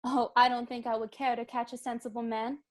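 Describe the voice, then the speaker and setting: A woman's voice: a little high, little pitch movement, brisk, lightly acted. A woman playing a prim, innocent and frank young society girl in a dramatic reading of a stage comedy. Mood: innocently prim.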